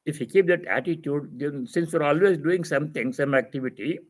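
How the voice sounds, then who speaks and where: Man giving a measured spiritual discourse. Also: on the high side. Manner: didactic, repeats phrases for emphasis. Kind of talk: spiritual discourse, reading and explaining scripture.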